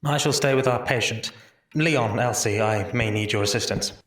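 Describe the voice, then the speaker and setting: A man's voice: medium pitch, normal speed, background noise, lightly acted. A man playing a formal, caring, anxious Victorian doctor in an audio drama. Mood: composed, caring.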